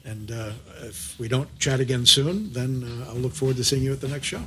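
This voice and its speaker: A man with a husky voice hosting a casual interview, speaking effusively with hesitant phrasing. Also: mid-range voice, fairly quick, sharp tone. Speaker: interviewer and show host.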